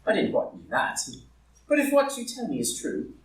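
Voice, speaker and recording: A man playing a languid, witty gentleman and loyal friend, speaking with urbane formality in a live play reading. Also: very high voice, wildly swooping pitch, normal speed, exaggerated voice. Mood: urbane, wry. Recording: roomy echo, far from the mic.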